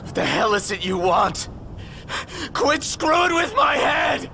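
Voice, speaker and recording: An actor playing a moody, defiant young prince in a video game, speaking in a sullen, exasperated and terse way. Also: male voice, sits high, big pitch swings, measured pace, creaky, a little hiss or hum, full character voice. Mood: angry, defiant. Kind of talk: dramatic video game acting. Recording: very loud game music behind the voice.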